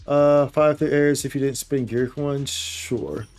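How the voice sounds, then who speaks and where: A man's voice: mid-range voice, steady tone, unhurried, a little mellow. Slangy male game streamer, music behind. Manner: slangy, addresses game and viewers as dude and boy. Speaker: game livestreamer. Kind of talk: casual live gameplay commentary.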